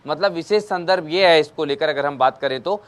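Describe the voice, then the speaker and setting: Higher-voiced man reporting live news. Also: fairly quick, clean tone. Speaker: television news correspondent.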